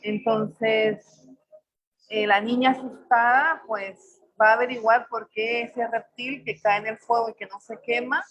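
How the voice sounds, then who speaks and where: A woman in her forties with a Salvadoran accent softened by Mexican tones, reflecting aloud as she finds her words. Her voice sits on the high side and comes through a slightly muffled video call line. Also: fairly even intonation, a little slow. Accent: Salvadoran Spanish mixed with Mexican. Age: 40s. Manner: thoughtful, searching for words with frequent fillers. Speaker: poet on a panel. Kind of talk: reflective personal talk.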